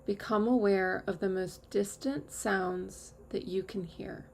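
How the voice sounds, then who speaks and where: A woman guides a meditation at a very slow, unhurried pace. Her mid-pitched voice is heavily creaky, and she gives calm, measured instructions with long pauses. There is faint noise behind her. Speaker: yoga nidra teacher.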